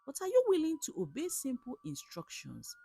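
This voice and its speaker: A woman voicing a mysterious old male medicine man in a folktale, solemn and deliberate. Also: high voice, wildly swooping pitch, creaky, mellow, faint music behind, voice pushed up, full character voice. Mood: solemn, commanding. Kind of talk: storybook folktale character.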